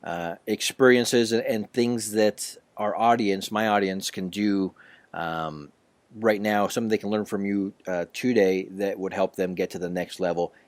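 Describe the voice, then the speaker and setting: A male podcast host asking long, halting, rambling questions of his guest. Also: on the low side, fairly even intonation, no room sound. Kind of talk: casual podcast interview.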